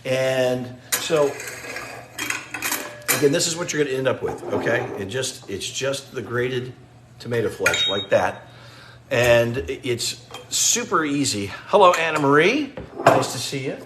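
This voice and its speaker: A man's voice: medium pitch, expressive, a little slow. A middle-aged man talks viewers through a simple recipe, chatting easily and greeting them by name. His voice sits in the middle range. The kitchen sounds roomy and echoey, with faint background noise. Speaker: cooking show host. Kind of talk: casual live cooking demo. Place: home kitchen.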